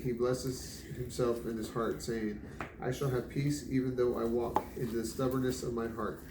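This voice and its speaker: Male reader, even and deliberate scripture delivery, distant in an echoey room with clear background noise. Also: medium pitch. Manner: measured, deliberate, stumbles over some names. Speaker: Bible reader. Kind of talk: scripture reading aloud. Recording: roomy, distant mic, noisy background.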